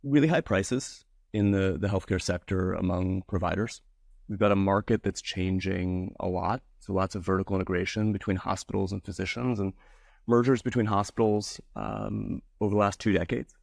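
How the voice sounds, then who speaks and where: A man on a video call, a little hoarse from a cold, speaking in an animated, conversational way about health costs. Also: low voice, normal speed, no echo. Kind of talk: policy webinar discussion.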